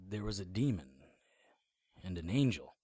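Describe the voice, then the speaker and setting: A rough, husky hero for a radio drama. His voice sits fairly low, and he talks with wry defiance, like a hard-boiled narrator of his own adventures. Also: big pitch swings, normal speed, mellow, dry recording, full character voice. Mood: wry, reflective. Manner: dry, noir-like first-person storytelling. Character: wry, defiant male demon hunter and hero.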